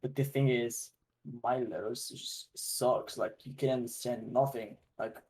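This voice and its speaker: A laid-back man co-hosting a podcast, chatting easily in slang. His mid-pitched voice carries a slight husky edge. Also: steady tone, unhurried, darkish tone. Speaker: podcast co-host. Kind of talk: casual podcast chat.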